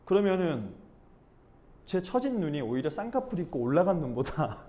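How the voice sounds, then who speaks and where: A man from Busan giving an informal class talk as a speech and expression instructor, animated and slipping into acted-out dialect examples. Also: fairly high pitch. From Busan, South Korea.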